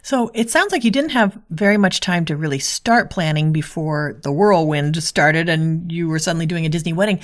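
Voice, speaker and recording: A woman with a notably low voice hosts a conversational interview. She phrases her questions and responses in an articulate, orderly way. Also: animated, moderate pace, hardly any pauses. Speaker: podcast host, interviewer.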